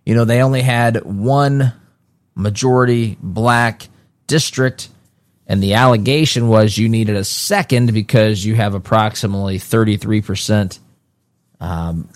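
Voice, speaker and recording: Man, low voice, casual solo commentary, conversational asides, frequent restarts, dry close sound. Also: measured pace, no room sound. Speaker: political commentary host.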